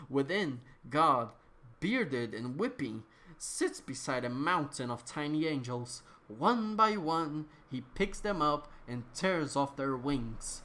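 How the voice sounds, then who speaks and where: A reader with a medium-pitched voice performs a written text as a dramatic reading. The delivery is declamatory and theatrical, leaning hard on certain words and stretching others out. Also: bright, forceful tone. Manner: theatrical, declamatory, with emphatic outbursts and drawn-out words.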